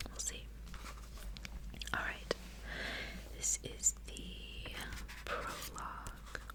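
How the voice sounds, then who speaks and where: A woman reading in a soft, breathy ASMR whisper, with slow, careful, hushed delivery, close to the microphone. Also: rough recording. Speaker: ASMR host reading a book aloud.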